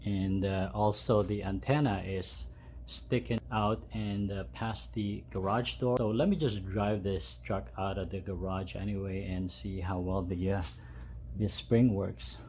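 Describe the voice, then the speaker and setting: A man with a fairly low, very mellow voice walks through a hands-on job step by step. His delivery is casual and unscripted, a little hesitant, like a hobbyist showing how it is done. Some ambient noise sits behind him. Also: fairly even intonation, unhurried. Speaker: host of a DIY truck modification channel. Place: home garage.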